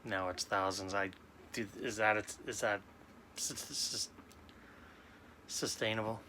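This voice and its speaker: Subdued man in his forties, low and bright. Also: fairly even intonation, a little hiss or hum. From New York. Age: 40s. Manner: halting, subdued, many restarts. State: sad. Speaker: sports card vlogger. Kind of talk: casual personal talk to camera.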